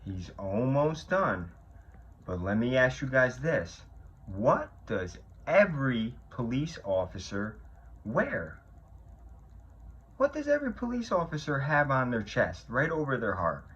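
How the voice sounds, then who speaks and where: A man, a police officer, talking and reading to children in an expressive way, addressing them directly. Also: medium pitch, measured pace, slightly rough, highs cut off. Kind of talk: children's read-aloud and talk to pupils.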